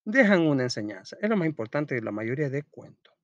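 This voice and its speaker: A man's voice: mid-range voice, sing-song, fast, no echo, dull audio. A man with a Salvadoran accent teaching an informal school lesson, reading aloud and explaining in a chatty, colloquial way, often asking questions and answering them himself.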